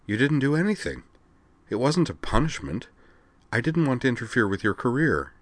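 A man voicing a composed, wry young princess with quiet command, in measured, understated audiobook dialogue. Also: medium pitch, very animated, dry recording, pitched above the performer's own voice. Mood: gentle, reassuring. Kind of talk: audiobook character voice.

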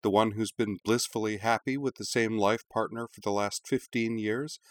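A man's voice: deep voice, animated, normal speed, no room sound. A man voicing an exasperated young woman in an audiobook, clipped, firm and dryly sarcastic. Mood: indignant. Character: young woman, sharp-tongued, exasperated with her mother. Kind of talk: audiobook character voice.

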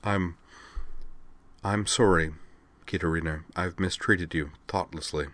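Solemn young princess read by a man, composed and wry, measured delivery, commanding, dry audiobook sound. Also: low voice, steady tone, a bit bright, dry recording. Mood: solemn, apologetic. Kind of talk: audiobook character voice.

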